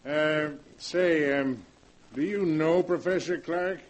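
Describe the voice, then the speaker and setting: A folksy small-town sheriff. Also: male voice, fairly high pitch, slow pace. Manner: drawling, colloquial small-town speech. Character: folksy rural male sheriff. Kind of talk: 1940s radio acting.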